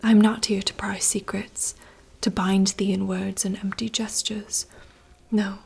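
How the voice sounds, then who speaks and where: A woman voicing a wistful young princess in a soft, slow, poetic whisper. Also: mid-range voice, little pitch movement, vocal fry, slightly rough, exaggerated voice. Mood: yearning. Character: wistful young princess longing for wild woods. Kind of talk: whispered monologue reading.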